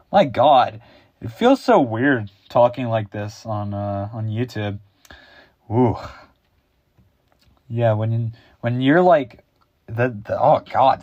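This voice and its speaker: Deep-voiced teenage guy rambling slowly. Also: a little mellow, no echo, lo-fi sound. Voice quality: deep, bass-heavy voice. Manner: rambling, improvised, a little awkward. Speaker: YouTube host. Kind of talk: casual unscripted chat.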